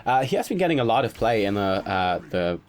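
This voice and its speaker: A man's voice: on the low side, big pitch swings, fairly quick. Sniffly man commentating a game draft. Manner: chatty, analytical, with little laughs. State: stuffed-up nose from a cold. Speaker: gaming commentator.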